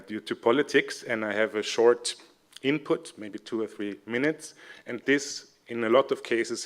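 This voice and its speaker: Young Austrian man, lowish pitch, friendly and halting presenter at a seminar. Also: a bit bright. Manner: hesitant, many fillers, friendly. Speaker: seminar speaker, civic educator. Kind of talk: conference presentation.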